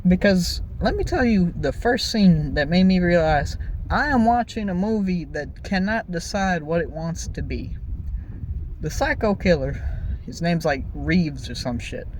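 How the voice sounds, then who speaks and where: A man's voice: sits high, unhurried, a little hiss or hum, poor audio. A man giving an exasperated, profane, comedic movie review in a conversational storytelling way, with exaggerated emphasis. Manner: exaggerated, animated emphasis with repeated phrases. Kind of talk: ranting, comedic film review commentary.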